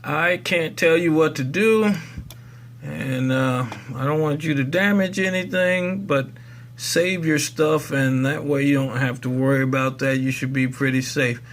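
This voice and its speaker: Folksy man with a slightly high voice. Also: a little slow. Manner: folksy, rambling asides. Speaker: tutorial video host. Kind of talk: casual spoken how-to demonstration.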